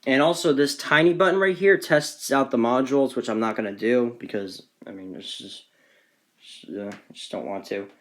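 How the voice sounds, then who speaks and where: A man's voice: medium pitch, moderate pace. A man casually presenting a collection in an off-the-cuff, rambling, matter-of-fact way, recorded on a handheld phone. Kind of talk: casual off-the-cuff show-and-tell.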